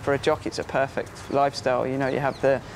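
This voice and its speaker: A man's voice: medium pitch, level tone, quick pace, vocal fry, slight background noise. A young man from England, a jockey, chatting casually in an interview and leaning on "you know".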